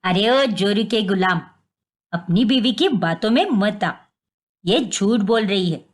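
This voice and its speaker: महिला की आवाज़ में कड़क, डाँटने वाली बुज़ुर्ग सास. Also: female voice, mid-range voice, takes it slowly, pitched below the performer's own voice. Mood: angry, accusing. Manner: bossy, sharp-tongued, scolding. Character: scolding older mother-in-law, stern and suspicious.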